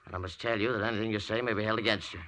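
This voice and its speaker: An officious county sheriff in a vintage radio drama. He talks fast in a forceful, businesslike, drily insistent voice, with faint noise on the old recording. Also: on the low side, steady tone. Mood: dry, official. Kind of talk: 1940s radio drama acting.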